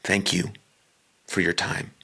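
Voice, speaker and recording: A man leading a guided meditation in a calm, deliberate way, with a breathy, husky voice and long pauses between short phrases.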